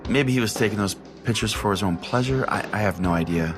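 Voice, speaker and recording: A man's voice: lowish voice, fast, music in the background, lightly acted. A man playing a crude, self-righteous small-town pastor who hosts a call-in radio show, pompous and foul-mouthed. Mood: earnest storytelling. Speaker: podcast host and storyteller. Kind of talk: comedic storytelling in radio call-in format.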